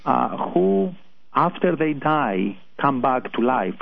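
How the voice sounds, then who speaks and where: A man with a Greek accent, a guest on a radio show, answering hesitantly with many pauses and restarts. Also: mid-range voice, sing-song, gravelly. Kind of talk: phone-in interview. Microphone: telephone. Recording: tinny phone line.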